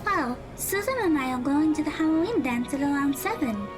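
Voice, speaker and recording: A woman plays a kind, polite teenage schoolgirl in an audio drama. Her voice is extremely high and girlish, and she speaks gently and earnestly. Music plays clearly behind her. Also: lively intonation, a little fast, poor audio. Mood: friendly, cheerful.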